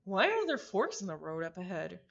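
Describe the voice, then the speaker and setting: A woman plays a serious, puzzled traveler in a visual novel. The voice drops lower and stays measured and matter-of-fact. The sound is slightly dull and lo-fi. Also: big pitch swings, gravelly, a bit bright, exaggerated voice.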